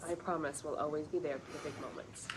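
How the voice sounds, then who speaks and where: A woman's voice: low-pitched, fairly even intonation, fast, natural voice. Actress as hesitant sitcom woman, noisy recording. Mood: reassuring. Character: awkward, hesitant yet composed career-woman friend. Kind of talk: sitcom script table read. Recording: clearly noisy room recording.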